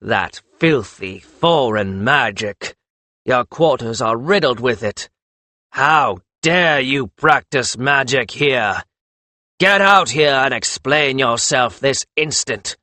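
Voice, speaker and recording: A man's voice: lowish voice, measured pace, few pauses, no room sound. Man acting a snarling, imperious magus in a rage. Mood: furious. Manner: shouting, snarling. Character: furious, imperious male lord magus, bullying and suspicious. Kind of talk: audiobook character voice.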